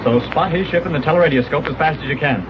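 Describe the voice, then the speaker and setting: An actor playing a confident male space hero, brisk and authoritative, in 1930s radio serial style. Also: a little high, natural voice. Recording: dull, noisy 1930s radio recording.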